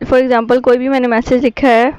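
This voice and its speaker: A woman's voice: fairly high pitch, little pitch movement, normal speed, darkish tone, clean tone, dry recording. A woman, an Urdu speaker, narrating a casual app tutorial as a YouTube host.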